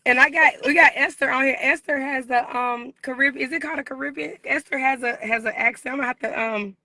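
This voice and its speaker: High, bright-voiced woman interviewer. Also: steady tone, fast talker, no echo, poor audio. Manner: informal, conversational, slangy. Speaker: podcast-style interview host. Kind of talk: casual chat interview.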